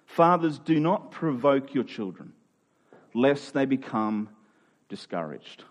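Aussie pastor in his 40s preaching, earnest, leans hard on key lines then goes quiet. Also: medium pitch, expressive, slow pace. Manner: earnest, emphatic preaching with sudden drops to a quiet voice. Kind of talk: sermon.

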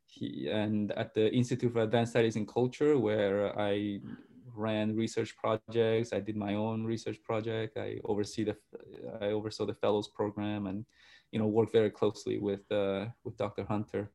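Halting male guest from Argentina. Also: fairly low pitch, completely flat delivery, dark tone. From grew up in Argentina. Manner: halting, repeats words and restarts often. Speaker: guest, pastor and sociologist. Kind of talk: conversational interview answers.

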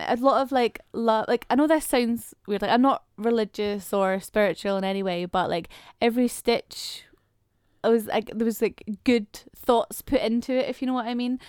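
A woman's voice: fairly high pitch, moderate pace, clean tone, no room sound, studio quality. A Scottish woman co-hosting a podcast, chatting casually and filling her talk with "like". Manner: chatty, fills speech with "like". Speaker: podcast co-host. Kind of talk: casual chat.

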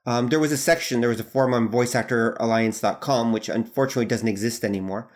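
A man's voice: medium pitch, fast talker. A man who produces audio dramas, a guest on a podcast, explaining things fluently in casual conversation.

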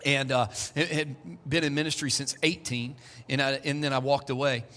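A man's voice: medium pitch, steady tone, moderate pace, sharp tone. A man, preaching a sermon to his congregation in a conversational way, with joking asides. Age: 40s. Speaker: pastor. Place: church hall. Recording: echoey church hall.

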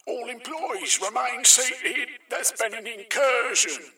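A man's voice: extremely high pitch, slow pace, far from the mic, voice pushed up, full character voice. A man voicing a bossy male factory overseer who barks orders through a megaphone. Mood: commanding. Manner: barking orders, shouting.

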